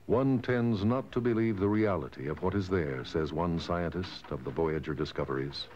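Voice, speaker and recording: A man reading scripted documentary narration in a measured, formal way, on a dated soundtrack. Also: deep voice, darkish tone, a little hiss or hum. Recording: dull, dated lo-fi film soundtrack. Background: music.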